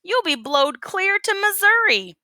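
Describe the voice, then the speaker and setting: A woman voicing excitable townsfolk in a Western storybook, loud and folksy. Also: a little slow, no echo, studio quality, heavily acted. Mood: alarmed. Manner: loud, high-pitched, folksy. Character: excitable Old West townsfolk shouting to a stranger.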